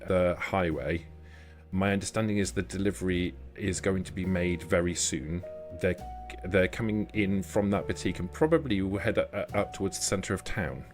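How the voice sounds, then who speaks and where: A genteel vampire lady acted out by a man in a tabletop game, speaking in a low register. She is polite and discreet, with a society manner, over background music. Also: fairly even intonation, normal speed, close to an everyday voice. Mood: discreet, cautious. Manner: polite, genteel, addresses others as darling.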